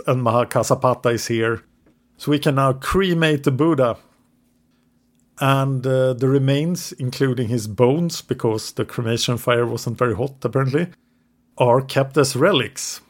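Man retelling history from notes. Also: mid-range voice. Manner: narrates from notes, hesitant with English words. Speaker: podcast co-host. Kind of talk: casual history podcast storytelling.

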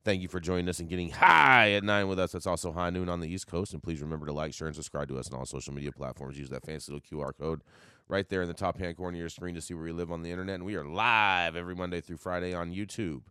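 A man's voice: sits low, quick pace, pushed and bright, smooth, no room sound, clean studio sound. A man co-hosting a news talk show, opening it with a playful, hype-man introduction. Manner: playful, hype-man delivery with big swings. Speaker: co-host.

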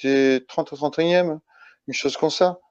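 A man from Italy speaking as a guest in a casual interview, halting and restarting his phrases as he explains. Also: fairly high pitch, steady tone, a little mellow, clean tone. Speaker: interview guest, ultra-trail runner. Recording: dull lo-fi, roomy echo.

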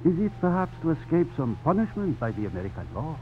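Stern, formal older governor and father, expressive range, vintage radio drama, muffled broadcast sound. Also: a little high, fast, faint music behind. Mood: grave. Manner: formal, grave, ceremonious. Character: courtly, stern older Spanish-colonial governor and protective father. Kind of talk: 1950s radio drama acting. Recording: dull, noisy old radio broadcast recording.

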